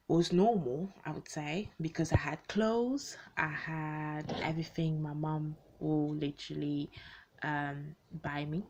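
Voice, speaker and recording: A woman in her late twenties speaks in a low register at an unhurried pace. She talks without a script to an online audience, conversationally, circling back to rephrase and putting questions to the listener. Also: darkish tone, highs cut off. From born in Congo, grew up in Belgium, moved to the UK as a teenager. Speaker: video host speaking to followers. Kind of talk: unscripted personal talk to an online audience.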